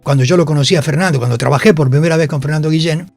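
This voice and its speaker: A man's voice: fairly high pitch, racing, creaky, no echo, faint music behind. A man, a theatre actor and director, talks in a radio interview, telling anecdotes emphatically and repeating phrases. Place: radio studio.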